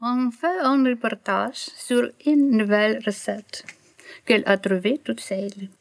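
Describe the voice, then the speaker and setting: A woman's voice: on the high side, steady tone, unhurried, creaky, no room sound. A woman from Ukraine, a native Ukrainian speaker, reading a short reportage and answering questions in careful, hesitant learner's French.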